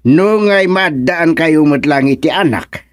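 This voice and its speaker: A man playing a puzzled village chairman mediating in a radio comedy, questioning in drawn-out phrases with an Ilocano accent. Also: on the high side, no echo, close to an everyday voice. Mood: advising. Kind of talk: radio serial drama-comedy acting.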